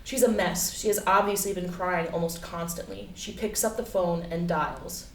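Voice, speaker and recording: A woman's voice: lowish voice, moderate pace. A woman giving scripted narration of stage directions at a play reading. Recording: distant mic, echoey room, clear noise.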